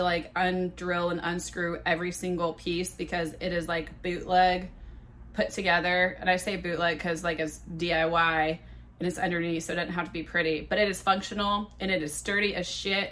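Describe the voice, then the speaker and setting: A woman's voice: fairly low pitch, fairly bright tone. A woman in her thirties hosting her own podcast, telling stories in a chatty, profane, self-mocking way with a big voice. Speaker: podcast host. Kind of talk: casual solo podcast storytelling.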